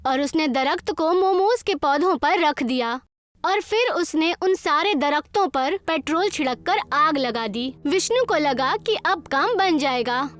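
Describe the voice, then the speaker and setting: A woman with a high voice narrates a children's moral tale. It is a scripted storytelling read, with soft music in the background.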